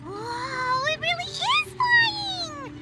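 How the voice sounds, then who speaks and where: A woman voicing a small, excitable, jittery fairy companion with a squeaky childlike voice in a video game. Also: very slow, loud music behind the voice, full character voice. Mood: excited. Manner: squeaky, exclaiming, refers to self in third person.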